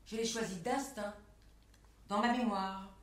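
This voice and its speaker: A woman delivering a scripted spoken exposé in a measured, carefully enunciated way, setting phrases apart like quotations. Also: medium pitch, fairly even intonation, far from the mic. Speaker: performer in a spoken-word performance lecture. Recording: echoey room, picked up from afar.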